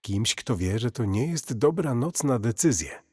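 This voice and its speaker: Grim doom-warning archivist played by a man for horror audio play: measured, husky, long reverb. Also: medium pitch, expressive, a little mellow. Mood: ominous.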